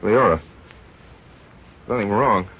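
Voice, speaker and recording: An actor playing an earnest, devoted doctor in an old-time radio drama. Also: male voice, fairly low pitch, takes it slowly. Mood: tender. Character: earnest, devoted male doctor running a field experiment. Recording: muffled vintage radio recording with music.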